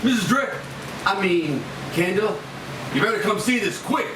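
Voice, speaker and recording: A man's voice: high-pitched, expressive, moderate pace, far from the mic. A man playing an anxious, deferential fellow in a film script, speaking politely and urgently. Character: anxious, deferential male bus companion. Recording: echoey, off-mic, background noise.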